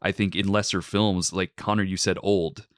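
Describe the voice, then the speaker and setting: A man hosting a film podcast, reviewing a movie and introducing a guest in a measured, articulate way with some "like" fillers. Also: low voice, flat delivery, dry recording, studio quality. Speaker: podcast host.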